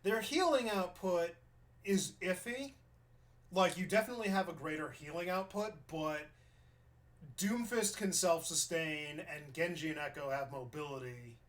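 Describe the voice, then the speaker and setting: A man from New York giving casual, chatty commentary while reviewing gameplay footage, thinking out loud and restarting his sentences. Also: a little high, measured pace.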